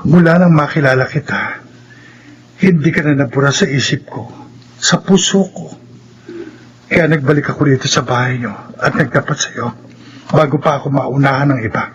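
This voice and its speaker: An actor playing an ardent, stubborn suitor in a radio melodrama, speaking in an earnest, declamatory manner. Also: male voice, mid-range voice, moderate pace, long pauses, natural voice. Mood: pleading, ardent. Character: ardent, stubborn suitor willing to do anything for love. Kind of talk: Filipino radio melodrama acting. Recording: slightly dull with faint noise.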